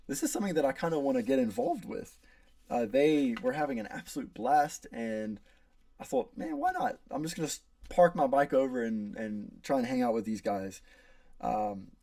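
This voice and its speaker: An earnest young man from South Africa with an American accent gives a prepared class speech, pausing on fillers and restarting phrases. His low, gravelly voice rises and falls widely. He stands back from the mic in a dry room. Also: mellow, no room sound, recorded from a distance. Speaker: student.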